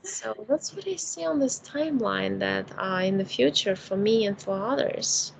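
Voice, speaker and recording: A woman's voice: medium pitch, gravelly, a little hiss or hum, rough recording. A woman with a Ukrainian accent, a native Russian and Ukrainian speaker, talking casually about spiritual matters. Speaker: spiritual healer and host.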